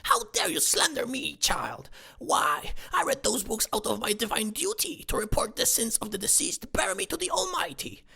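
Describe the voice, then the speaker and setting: Pious old busybody, high-pitched, wildly swooping, scandalised, comic radio play. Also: female voice, big pitch swings, moderate pace, bright, forceful tone, full character voice. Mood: outraged. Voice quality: gravelly, husky. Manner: pompous, scandalised. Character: indignant, pious elderly aunt, sanctimonious village busybody. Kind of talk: hobby comedy murder-mystery audio drama.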